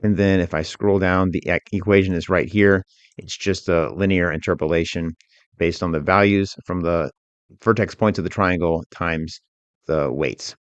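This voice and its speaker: A man working as an instructor, narrating a software tutorial in a methodical, informal, step-by-step way. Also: sits low, moderate pace, dry recording, lo-fi sound.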